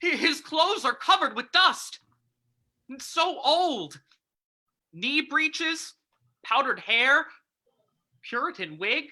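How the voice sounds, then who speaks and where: Woman as sharp, bright-voiced uneasy townsman. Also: high voice, expressive, slow pace, long pauses, full character voice. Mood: curious, uneasy. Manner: earnest, wondering. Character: steady small-town man, curious and uneasy. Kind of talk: old-time radio drama reading.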